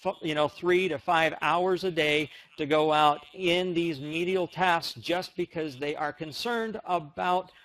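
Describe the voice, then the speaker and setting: An American man presenting on a conference call, giving an enthusiastic, emphatic motivational sales pitch. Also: measured pace, few pauses, a bit bright. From United States.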